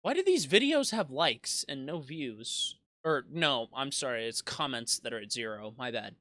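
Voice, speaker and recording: A man's voice: medium pitch, big pitch swings, moderate pace, fairly bright tone, dry recording, studio quality. A man in his twenties, a YouTube gaming creator, reflecting in a casual monologue that rambles with frequent restarts and self-deprecating asides. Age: 20s.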